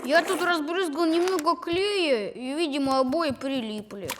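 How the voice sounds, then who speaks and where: A performer voicing an earnest, bookish little boy kitten in a children's cartoon, measured and thoughtful, over music. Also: high voice. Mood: sheepish. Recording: loud children's music behind.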